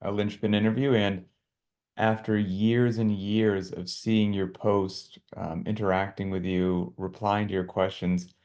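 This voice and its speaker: American guy hosting a video call interview, lowish voice, friendly and chatty. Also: level tone, a little slow, a little mellow. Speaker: interview host.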